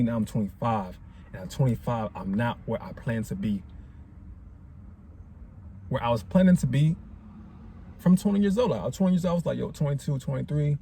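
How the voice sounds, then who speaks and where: Young man musing in slang, mellow voice. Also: mid-range voice, a little hiss or hum. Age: 20s. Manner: slangy, rambling, reflective. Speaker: vlogger. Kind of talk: casual talking-head vlog.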